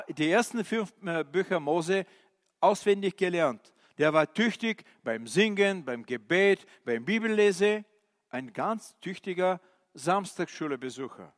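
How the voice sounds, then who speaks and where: A male preacher, a non-native German speaker, giving an animated sermon with many questions to his listeners and hesitant fillers. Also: sits high. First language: not German. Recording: reverberant hall.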